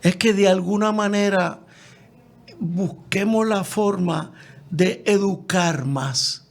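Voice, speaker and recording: A man's voice: high voice, slow pace, creaky. A man hosting an interview, summarizing his guest's points and adding brief affirmations. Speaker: host. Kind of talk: conversational interview.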